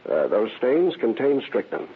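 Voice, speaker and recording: Casting: confident American forensic expert, raspy, deliberate, formal, lively delivery, vintage radio sound. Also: male voice, mid-range voice. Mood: deliberate, emphatic. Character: precise, confident criminologist reporting forensic findings. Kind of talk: old-time radio crime drama acting. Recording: tinny vintage radio recording.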